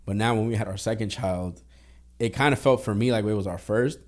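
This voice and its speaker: Fast-talking young man, candid. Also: lowish voice. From Boston. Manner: streetwise, candid, heavy swearing. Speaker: podcast guest. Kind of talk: casual podcast conversation.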